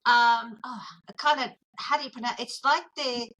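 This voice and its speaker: An Australian woman, a mindful arts therapist, chatting as an interview guest in an earnest, gently enthusiastic way, often pausing to find her words over a video call. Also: a little high, fairly even intonation, highs cut off. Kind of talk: casual interview chat.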